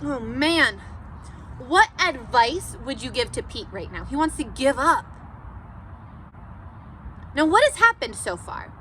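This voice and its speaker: A woman teacher doing an interactive read-aloud for children, animated and encouraging, asking questions and pausing for answers. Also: sits high, a little hiss or hum.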